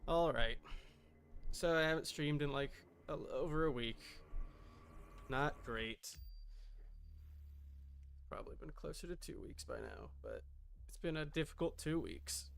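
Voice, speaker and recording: Gravelly, higher-pitched man streaming casually. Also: sing-song, a little slow, pauses often, a bit bright, a little hiss or hum. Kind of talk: casual livestream chat.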